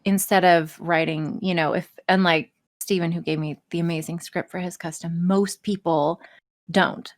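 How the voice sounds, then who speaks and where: A woman's voice: fairly low pitch, fairly even intonation, normal speed. A woman chatting casually as a podcast guest, candid, enthusiastic and free with swearing. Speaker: podcast guest, audio script writer and performer.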